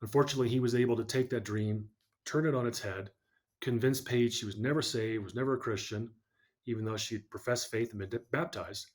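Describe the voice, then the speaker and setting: Male guest, mid-range voice, earnest and measured as he recounts his story. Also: medium pitch, steady tone. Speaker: podcast guest. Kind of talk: personal story in an interview.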